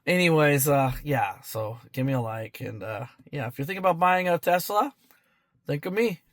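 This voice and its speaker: A man's voice: fairly high pitch, animated, normal speed, fairly bright tone, dry recording, studio quality. A man getting over a chesty cold, talking casually and hesitantly to camera as a vlog host, often circling back and repeating himself. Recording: clear background noise, on-location ambience.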